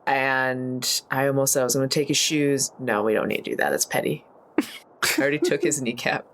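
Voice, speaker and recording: Very low-voiced woman at a game table. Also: steady tone, brisk. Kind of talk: casual game-table chat.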